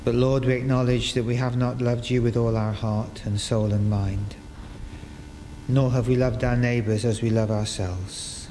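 Older man praying slowly in echoing church. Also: medium pitch, level tone, warm tone. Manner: measured, formal, phrase by phrase. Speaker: church service leader. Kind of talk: reading liturgy and prayers to a congregation. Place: inside a parish church, congregation present. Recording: echoey church hall with noisy ambience.

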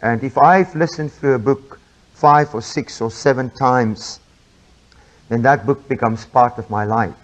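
A man's voice: medium pitch, unhurried, lo-fi sound. A man with an Afrikaans accent giving an informal sermon, chatty and full of fillers and restarts. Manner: chatty, self-interrupting with frequent fillers. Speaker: preacher.

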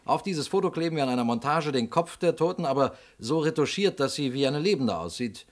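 A man's voice: fairly high pitch, lively intonation, fairly quick, lightly acted. An actor playing an eager, deferential police detective, loyal assistant to his chief, explaining keenly in a German radio crime drama.

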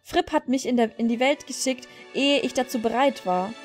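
A woman reads out a young female rider in a fantasy horse game, bitter and weighed down by guilt. The delivery is dramatic and brooding in a fairly high voice, with music behind it. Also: moderate pace, natural voice. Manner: dramatic, brooding read-aloud confession.

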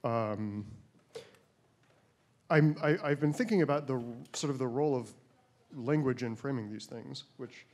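A man of middling pitch speaks up from his seat in a lecture hall audience. He talks hesitantly, circling with fillers as he builds his point. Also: a little slow, long pauses, a little mellow. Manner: hesitant, many ums and sort ofs. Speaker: audience member asking a question.

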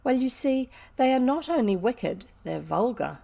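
A woman voicing a bright, imaginative schoolgirl in a storybook, earnest and deliberate, with old-fashioned kindness. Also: fairly high pitch, sing-song, creaky, voice pushed up, exaggerated voice. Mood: thoughtful.

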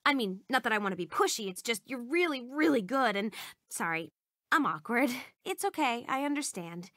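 An actress voicing an eager, awkward, bubbly young princess in a video game, with a perky, rambling, self-conscious manner. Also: female voice, a little high, expressive, normal speed, a bit bright, no room sound, clean studio sound. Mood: awkward, let down. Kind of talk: animated video game character voice clips.

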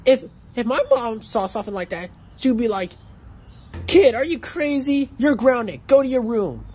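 Teen boy, reaction host, excitable and exclaiming, lively swooping pitch, phone mic on an outdoor patio. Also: normal speed, breathy edge. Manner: excitable, exclaiming, stumbling restarts. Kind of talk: casual reaction commentary. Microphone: phone camera pointed at a laptop.

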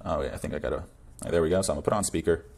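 A man's voice: very deep voice, racing, vocal fry, a little mellow. A young man presenting a coding tutorial in a casual screencast style. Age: young adult.

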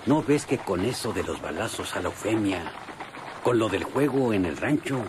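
Actor playing a low, swooping, scheming Mexican outlaw. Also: male voice, lively intonation, normal speed, close to an everyday voice. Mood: sly, cajoling. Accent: northern Mexican. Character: scheming, greedy rural Mexican outlaw cajoling his cousin. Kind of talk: Mexican radionovela acting. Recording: noisy old radio drama recording.

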